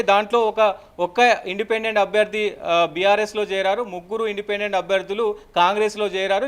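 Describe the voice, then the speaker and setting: హై-పిచ్ స్పష్టమైన గొంతు గల తెలంగాణ మగ రిపోర్టర్. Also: male voice, sits high, fairly bright tone. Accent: Telangana Telugu. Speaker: news reporter. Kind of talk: unscripted live news update.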